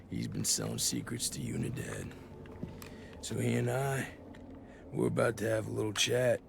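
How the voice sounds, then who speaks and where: An actor playing a menacing, sardonic covert operative in a game, an American who talks with blunt swagger. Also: male voice, on the low side, sing-song, measured pace, creaky, pauses often, background noise, exaggerated voice. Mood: smug menace. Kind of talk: game cutscene dialogue.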